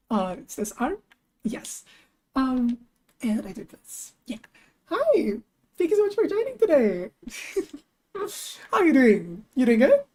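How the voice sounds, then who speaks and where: A young woman streamer chatting casually as she works, thinking aloud, her voice lower than usual today, with bursts of high excitement. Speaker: streamer and artist. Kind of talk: casual live-stream chat while working.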